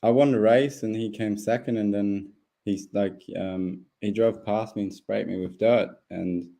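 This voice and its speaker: A young man whose first language is German, with a low, dark, mellow voice. He rambles through a relaxed live chat, restarting sentences and leaning on fillers. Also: a little slow, vocal fry. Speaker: guest speedway rider. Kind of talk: casual live interview.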